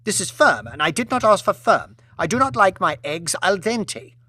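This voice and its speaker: Man putting on a shrill imperious aristocratic lady. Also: very animated, normal speed, pushed and bright, no room sound, heavily acted. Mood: indignant, demanding. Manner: petulant, sobbing self-pity turning to furious outrage.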